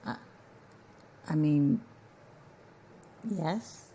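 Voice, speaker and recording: An irish reporter, lowish voice, sarky and straight talking, audio drama. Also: female voice, very slow, mellow, slight background noise. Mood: shocked, apologetic. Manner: dry, sardonic. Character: wry, blunt Irish woman news reporter. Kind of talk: naturalistic audio drama acting.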